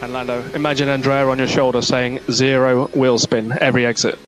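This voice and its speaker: A man speaks as a race engineer over team radio with a mid-range voice. His delivery is clipped and calm, and he repeats his instructions. Clear car noise runs behind the transmission. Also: medium pitch, fairly quick. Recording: noisy motor racing radio, engine noise.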